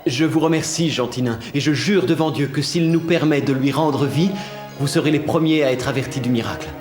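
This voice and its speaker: A man's voice: on the high side, fairly quick, lightly acted. Earnest young fairy-tale prince, male actor over music. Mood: grateful, resolute. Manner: declamatory, pleading.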